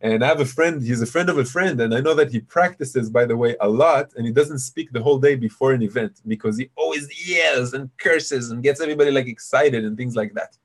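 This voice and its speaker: A man with a deep voice leads a live online session, talking loosely and explaining ideas to the listeners he calls "you guys". His pitch sits in an ordinary middle range. He is heard through a computer mic on a video call. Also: brisk, hardly any pauses. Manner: chatty, explanatory, addresses audience as "you guys". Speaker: meditation teacher hosting a webinar. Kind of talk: casual live webinar teaching.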